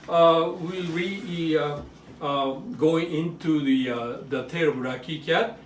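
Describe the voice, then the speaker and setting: A man with a Japanese accent giving an educational demonstration in short, simple phrases, often checking in with a quick question. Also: a little high. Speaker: author and presenter. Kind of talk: educational show-and-tell for children and families. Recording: roomy echo, distant mic, faint noise.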